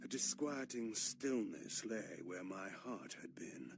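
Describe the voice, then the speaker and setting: An actor playing a proud, brooding vampire lord, narrating with solemn, grandiose gothic theatricality in a gravelly video game voice. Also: male voice, on the low side, animated, slow, background noise, heavily acted. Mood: shaken, brooding. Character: proud, brooding male vampire lord recounting his fate.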